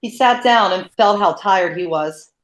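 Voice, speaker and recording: A woman narrating a novel in a steady, measured read-aloud. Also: mid-range voice. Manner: steady, even, measured reading. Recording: roomy echo.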